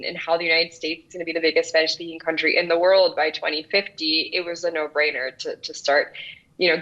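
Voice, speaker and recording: Woman, from El Salvador, 30s, earnest interview guest, restarts often, low voice, dull lo-fi sound. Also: a bit bright. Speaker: guest founder. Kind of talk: interview answers.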